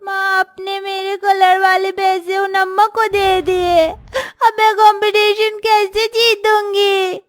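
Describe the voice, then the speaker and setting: A woman's voice: little pitch movement, slow pace, hardly any pauses, faint music behind. A woman voicing a sweet, pleading little schoolgirl daughter in a cartoon story, with a childlike voice. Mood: sad, pleading. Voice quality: childlike, high-pitched. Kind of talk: Hindi cartoon story voice acting.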